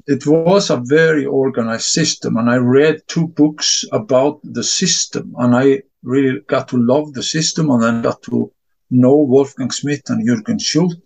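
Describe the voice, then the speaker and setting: A man's voice: medium pitch, measured pace, vocal fry, a little mellow. A middle-aged man from Iceland, a discus coach answering interview questions earnestly in non-native English, often repeating words as he gathers his thoughts. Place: home.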